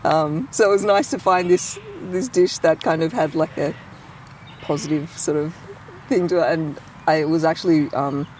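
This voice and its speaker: A woman's voice: low-pitched, moderate pace, a little mellow, a little hiss or hum. An Australian woman, a guest who grew up speaking Cantonese first, talking casually in a hesitant, rambling way with frequent laughs. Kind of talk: casual conversation.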